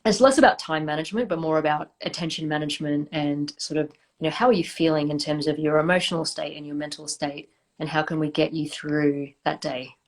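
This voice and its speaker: A woman, a founder and interview guest, answering in a thoughtful, measured way with hesitant fillers. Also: low voice, steady tone. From Australia.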